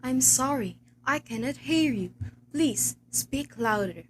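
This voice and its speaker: A routine-bound telephone operator in a radio drama acted by a woman. Her voice is fairly high, detached and courteous in a formulaic way. There is light noise on the amateur recording. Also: animated, slow pace. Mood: impassive. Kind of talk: amateur school radio play acting.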